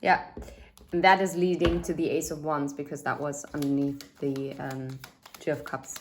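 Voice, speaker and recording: A woman's voice: sits low, flat delivery, moderate pace, background noise. A woman in her thirties giving a casual tarot reading, chatty and thinking aloud with fillers and asides. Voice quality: says she has a loud voice. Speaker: tarot reader.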